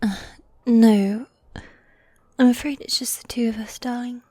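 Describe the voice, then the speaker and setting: A woman playing a teasing, needy yet dominant goth demon girlfriend in a fantasy ASMR roleplay, soft and breathy, often whispering, close to the mic. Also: a little high, fairly even intonation, unhurried, dry recording. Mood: weary, mildly exasperated. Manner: teasing, coy, affectionate, with sighs. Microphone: close, dry microphone.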